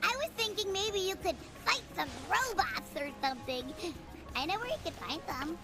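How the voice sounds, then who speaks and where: A woman's voice: very high-pitched, lively intonation, normal speed, loud music behind the voice. A woman voicing an eager, wide-eyed little schoolgirl in a game cutscene. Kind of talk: animated game cutscene acting.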